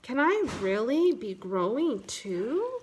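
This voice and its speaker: A woman voices a little boy from a picture book, high-pitched and earnest. He is curious and childlike, wondering and declaring things about growing up. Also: very animated, slow, darkish tone, slight background noise.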